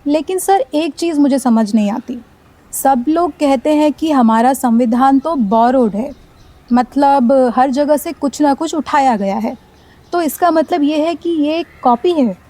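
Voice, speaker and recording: A woman's voice: high voice, measured pace, warm tone, low-quality recording. A young woman playing a curious, polite university student asking her teacher questions in a radio play. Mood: questioning. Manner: inquisitive, polite.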